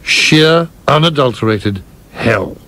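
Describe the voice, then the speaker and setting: Man playing a prim, scholarly gentleman producer. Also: medium pitch, expressive, heavily acted. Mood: wry, reflective. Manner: measured, literal, dryly witty, formal wording. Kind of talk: radio play acting.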